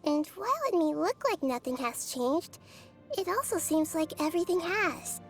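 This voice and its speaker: A woman voicing a gentle, wise and curious young girl deity in a video game, thoughtful and full of childlike wonder. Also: high-pitched, expressive, moderate pace, faint music behind. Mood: pensive. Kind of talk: video game character voice lines.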